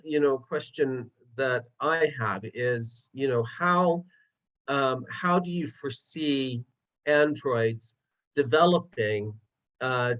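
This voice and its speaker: A man moderates an online webinar at a slow, unhurried pace. He talks hesitantly, with frequent "um" and "uh". His voice comes through a video call. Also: medium pitch, fairly bright tone. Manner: hesitant, many fillers.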